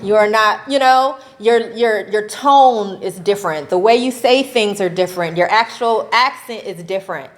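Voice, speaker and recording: A woman advocate speaking on a convention panel, animated and laughing often, ending her points with "right?". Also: mid-range voice, moderate pace, few pauses. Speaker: faith-based advocacy panelist. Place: convention session room.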